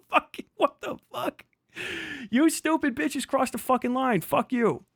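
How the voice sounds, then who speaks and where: A man's voice: very high-pitched, slow, vocal fry, no room sound, studio quality. A man hosting a livestream, ranting vehemently with heavy swearing as he reacts to and reads out messages. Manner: profane, agitated, vehement. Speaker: livestream host. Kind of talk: livestream reaction rant, reading messages aloud.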